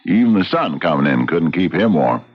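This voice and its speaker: An actor playing a folksy, steady Old West sheriff with an American Western accent and a laconic drawl, in a radio drama. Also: male voice, deep voice, moderate pace, breathy edge, full character voice. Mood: matter-of-fact. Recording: dull radio recording with faint noise.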